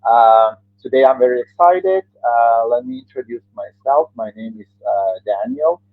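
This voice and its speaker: A man's voice: medium pitch, moderate pace, no echo. Croatian man on a tinny call line. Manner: hesitant, many uh fillers, non-native grammar. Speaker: interview host. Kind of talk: casual video-call interview. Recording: tinny phone-like line, dry.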